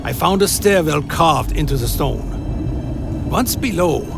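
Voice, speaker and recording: Brooding, gravelly vampire narrator. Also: male voice, fairly high pitch, animated, heavily acted. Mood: brooding, wary. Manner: dramatic, solemn, deliberate. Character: male vampire narrator, brooding, awed, wary. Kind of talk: first-person gothic horror narration, audio drama. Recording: music behind the voice.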